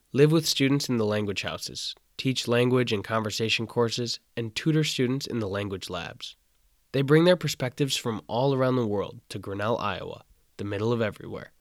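A young man hosting a college interview show, narrating and asking questions in a relaxed way. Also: lowish voice, dry recording, clean studio sound. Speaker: student radio host.

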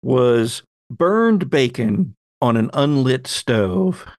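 Slow-paced man running a tabletop game. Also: mid-range voice, lively intonation, a little mellow, no room sound, studio quality. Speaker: host and game master. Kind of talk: narrating a tabletop horror game podcast.

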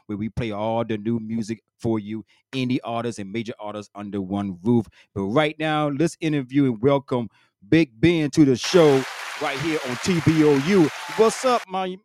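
A man's voice: mid-range voice, no room sound. Hyped-up male radio host. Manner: energetic, repetitive hype talk.

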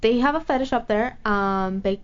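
A woman's voice: on the high side, normal speed, smooth. A young adult woman in a casual chat as a guest, blunt and giggly, swearing freely. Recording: muffled lo-fi recording.

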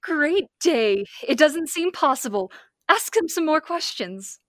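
A woman's voice: sits high, sing-song, unhurried, no echo, studio quality. A young woman amateur playing a curious, admiring scientist's assistant in an old-time radio serial, inquisitive and wide-eyed. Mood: amazed. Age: young adult.

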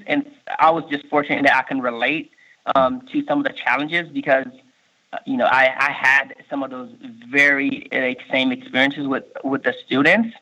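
A man's voice: medium pitch, bright, forceful tone. Haitian-born man with a Miami accent. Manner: halting, many fillers and restarts. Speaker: state workforce official, guest. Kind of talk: conversational interview answers. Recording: muffled lo-fi recording.

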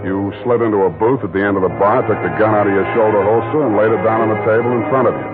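A man doing a sardonic private eye, lowish voice, tinny old radio sound, music behind. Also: steady tone, fast talker, lightly acted. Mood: narrating. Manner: dry, sardonic. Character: wisecracking hard-boiled male private detective. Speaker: narrator and lead. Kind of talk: 1940s radio acting.

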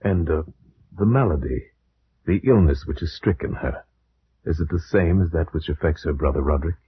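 An actor playing a grave gentleman haunted by dread, in solemn, formal old radio horror style. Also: very deep voice, slightly rough, heavily acted. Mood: probing. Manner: solemn, formal, ominous, measured. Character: grave, dread-haunted gentleman narrator, friend of a doomed family. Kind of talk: 1940s-50s radio gothic horror narration and acting. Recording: dull old radio recording with music.

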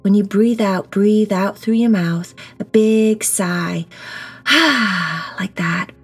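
A woman leads a guided meditation for children, speaking softly and breathily, often close to a whisper, in a gentle, reassuring way with simple words. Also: medium pitch, steady tone, takes it slowly, slightly rough. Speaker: guided meditation teacher and host. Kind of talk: children's guided meditation, slow soothing instruction. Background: soft background music.

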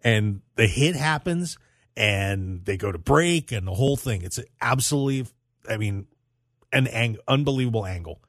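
An American man hosting a sports talk radio show, telling stories in an animated way with emphatic repetition. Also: fairly low pitch, normal speed, dry recording, clean studio sound.

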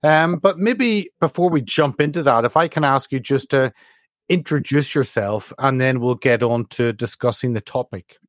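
A man's voice: medium pitch, moderate pace, dry recording, clean studio sound. A man hosting an interview, politely setting up the guest with loose phrasing and fillers. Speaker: host. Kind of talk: interview host introduction.

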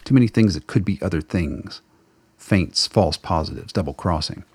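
A man's voice: low-pitched, moderate pace, a little mellow, breathy edge. A man reading scripted dramatic narration as the narrator of a radio play.

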